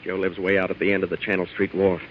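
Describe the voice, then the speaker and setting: Guy doing a tough slangy wronged young man, lowish voice, 50s radio crime show, dull sound with some hiss. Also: monotone, quick pace, natural voice. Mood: earnest, grim. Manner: blunt, slangy, insistent. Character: tough wronged young fiancé, hard-bitten and determined. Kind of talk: 1950s radio crime drama acting. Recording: dull, hissy vintage radio recording.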